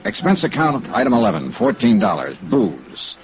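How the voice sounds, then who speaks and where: A man's voice: mid-range voice, huge pitch swings, moderate pace, vocal fry. An actor playing a dogged, wry insurance investigator narrating his case in a 1950s detective radio drama, dry and needling. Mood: wry narration. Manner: dry, needling, hard-boiled. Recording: muffled old radio recording with music.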